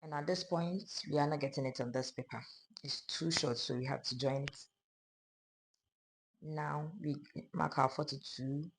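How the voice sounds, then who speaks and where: Hoarse sewing teacher explaining steps. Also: mid-range voice, normal speed, pauses often, background noise. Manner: calm, step-by-step explaining of measurements. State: hoarse, losing voice. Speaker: sewing instructor. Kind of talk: pattern-drafting tutorial narration.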